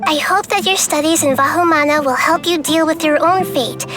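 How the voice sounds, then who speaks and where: A woman playing a small, wise, gentle girl god in a game, speaking in measured, philosophical analogies. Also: high voice, over music, natural voice. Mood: gently teasing. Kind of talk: scripted game dialogue.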